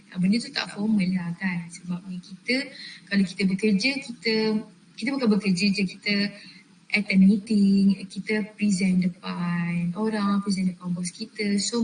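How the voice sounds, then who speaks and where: A young woman with a Malaysian accent presents a lesson in a relaxed, chatty way, often turning to her listeners. She is heard from a distance in an echoey classroom, with faint room noise behind her. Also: medium pitch. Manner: chatty, addresses listeners directly with fillers. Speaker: trainee presenter.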